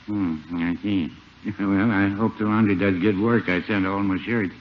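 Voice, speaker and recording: An actor playing a mild, dry old small-town druggist who needles with a deadpan, folksy chuckle in a 1950s radio comedy. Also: male voice, fairly low pitch, moderate pace, close to an everyday voice. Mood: slyly teasing. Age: older. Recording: muffled, noisy 1950 radio broadcast.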